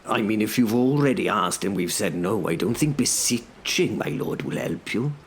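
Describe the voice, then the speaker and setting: A man voicing an unruffled knight who feigns confusion with dry, deliberate politeness in an audiobook. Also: lowish voice, expressive, a little hiss or hum, pitched above the performer's own voice. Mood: mock polite.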